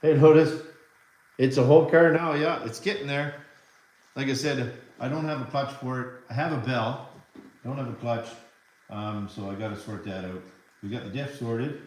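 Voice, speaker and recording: A man's voice: mid-range voice, steady tone, normal speed, a little mellow, roomy sound, low-quality recording. A man with a Canadian accent, hosting a casual live stream from his RC hobby workshop and rambling to his viewers with frequent restarts. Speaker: livestream host.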